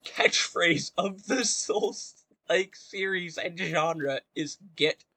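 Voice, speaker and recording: A man's voice: high voice, extremely slow, bright, forceful tone, slightly rough, no echo. A man in a casual, argumentative chat about games, speaking emphatically and swearing freely. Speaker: podcast co-host.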